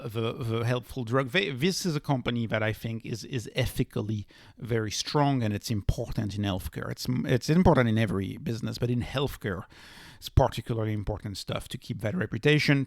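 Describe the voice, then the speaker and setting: A man's voice: medium pitch, no room sound, clean studio sound. A man hosting an investing channel, giving informal financial commentary in an emphatic way, repeating key phrases for stress. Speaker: host of a stock investing channel.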